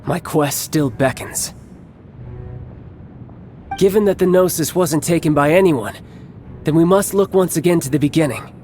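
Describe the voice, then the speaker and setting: An actor playing a cocky, battle-hungry young villain in a video game cutscene, speaking in a measured, smug and theatrical way with formal phrasing. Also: male voice, a little high, darkish tone, lightly acted. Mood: smug, disdainful.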